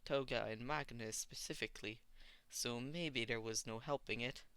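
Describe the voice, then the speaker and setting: A man's voice: mid-range voice, normal speed, fairly bright tone, clean tone, no echo. A man reading fan fiction aloud as a scripted story narration.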